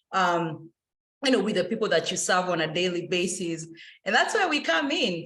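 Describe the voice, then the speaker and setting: Energetic woman in an echoey room. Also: mid-range voice. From Kenya. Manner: energetic, speeds up through lists, many fillers. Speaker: financial educator and webinar presenter. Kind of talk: casual enthusiastic presentation. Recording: roomy echo.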